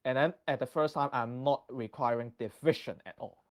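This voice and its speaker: A man's voice: mid-range voice, no echo. Hong Kong-accented man giving a dry-sounding math talk. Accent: Hong Kong English. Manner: halting, many restarts and fillers. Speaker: guest presenter. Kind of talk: math lecture with slides.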